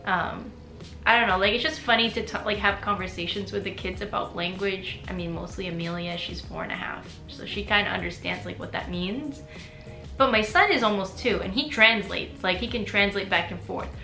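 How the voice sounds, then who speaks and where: Young adult woman, chatty vlogger, bright tense voice, roomy echo, faint music underneath. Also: mid-range voice, fairly quick, gravelly. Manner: chatty, frequent "like" fillers, laughs often. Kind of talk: casual personal vlog.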